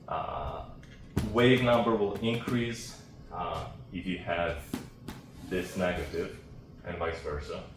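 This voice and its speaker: Low-voiced man lecturing in a roomy space. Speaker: academic researcher presenting. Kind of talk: technical seminar lecture. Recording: roomy, distant mic, faint background noise.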